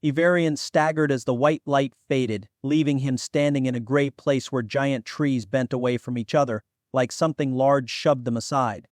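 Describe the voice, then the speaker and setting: A man's voice: mid-range voice, fairly even intonation, normal speed, few pauses, a little mellow, no room sound, studio quality. A man narrating a fantasy audiobook in a scripted, dramatic storytelling style.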